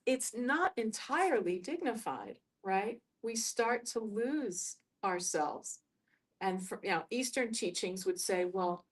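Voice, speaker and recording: A middle-aged American woman from New York facilitating a group discussion, musing aloud and telling personal stories in halting phrases. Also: medium pitch, distant mic. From New York, United States.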